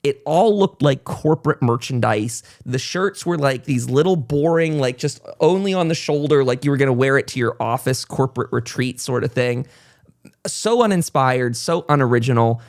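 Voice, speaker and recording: A man with an American accent hosts a podcast in a voice of average pitch. He talks casually and conversationally, in long rambling sentences. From United States. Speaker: talk show podcast host. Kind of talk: casual interview chat.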